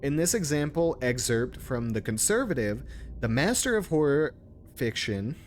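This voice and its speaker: A man's voice: mid-range voice, sing-song, moderate pace, slight background noise. A man streaming a game, commenting casually and reading text aloud in an informal, sometimes stumbling way.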